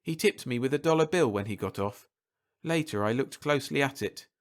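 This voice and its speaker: A man's voice: mid-range voice, lively intonation, fairly quick, pitched above the performer's own voice, natural voice. A man voicing a deferential train porter in a story, with a polite, formal and uneasy manner. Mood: worried, polite.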